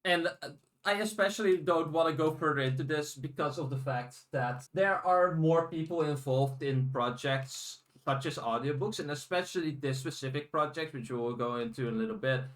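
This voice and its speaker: Casual male podcast host, slightly higher voice. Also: normal speed, a bit bright.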